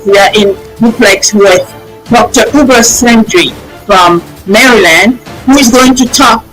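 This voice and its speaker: A woman's voice: medium pitch, a bit bright, rough recording. Woman hosting an interview over music. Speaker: TV show host.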